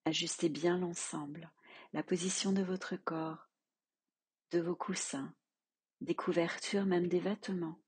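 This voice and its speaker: A woman teaching yoga nidra, leading a guided relaxation in a soft, calm, measured way with long pauses. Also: fairly low pitch, slightly rough.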